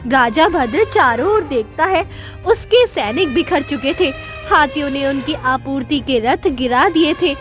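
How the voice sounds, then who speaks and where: A woman with a high voice reads scripted narration for a children's cartoon tale. Light music plays underneath. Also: expressive, unhurried, few pauses. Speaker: story narrator.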